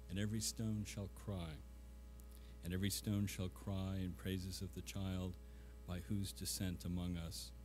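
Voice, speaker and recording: Middle-aged man, low voice, guest poetry reader, live event, faint music behind. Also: darkish tone. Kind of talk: live remarks and measured poetry recitation.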